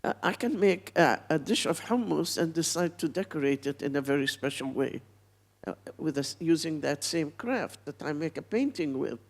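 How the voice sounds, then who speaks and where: A woman's voice: low-pitched, lively intonation. A woman in her eighties, from Palestine, speaking bluntly and reflectively as an artist on a panel. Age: 80s. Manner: blunt, reflective, unhurried, with pauses. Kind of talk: panel talk.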